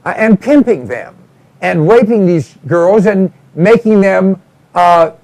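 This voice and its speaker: A man hosting a talk show, introducing his guest in a halting way with many fillers. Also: high-pitched, expressive, a little mellow, rough recording. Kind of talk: casual talk show interviewing.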